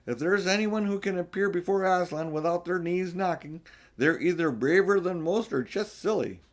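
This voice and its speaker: A man's voice: high voice, moderate pace, pitched above the performer's own voice, lightly acted. A man voicing a kindly, motherly female beaver in a storybook, plain-spoken and matter-of-fact. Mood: firm, kindly. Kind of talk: storybook character voice.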